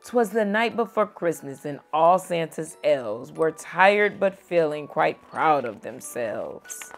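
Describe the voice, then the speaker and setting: A young woman reading a picture book aloud to a child at home, gentle and expressive. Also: sits low, slow pace, soft background music. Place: home bedroom, indoors.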